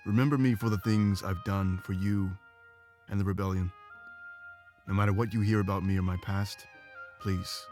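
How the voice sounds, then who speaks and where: A man's voice: lowish voice, fairly even intonation, normal speed, long pauses, darkish tone, natural voice. A man voicing a devoted, frank warrior beast-man who is eager for combat in a visual novel game. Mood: serious, pleading. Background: game music.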